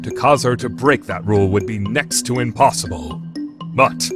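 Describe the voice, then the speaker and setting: A man's voice: mid-range voice, moderate pace, music in the background, lightly acted. A man playing a dramatic, declarative narrator of scripted anime battles of wits in a fandub radio play. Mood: dramatic explaining.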